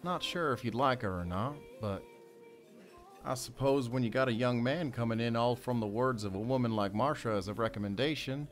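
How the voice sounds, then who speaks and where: An actor playing a wry young ranch-hand newcomer in an audio drama, rambling and hesitant in a folksy way. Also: male voice, mid-range voice, normal speed, soft background music, natural voice.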